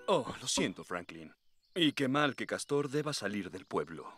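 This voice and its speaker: A man's voice: medium pitch, sing-song, moderate pace, a bit bright, faint music behind. A man voicing a friendly father turtle in a cartoon dub. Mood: dismayed. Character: friendly, good-natured handy father turtle.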